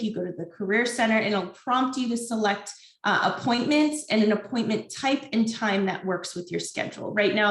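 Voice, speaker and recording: An upbeat woman walks students through career services over a video call. Her voice is mid-pitched. The room around her rings with echo. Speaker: career center presenter. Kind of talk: online presentation.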